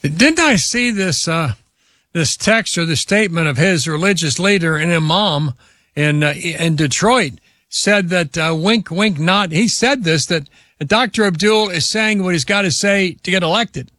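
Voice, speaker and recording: A man hosting a talk radio show, interviewing a guest with emphatic, drawn-out questions. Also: high-pitched, sharp tone, no room sound, studio quality.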